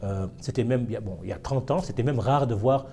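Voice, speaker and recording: A man's voice: medium pitch, fast talker, mellow, slight background noise. A man from Senegal, a historian, answering interview questions in a reflective way with frequent fillers and hesitations. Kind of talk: casual interview.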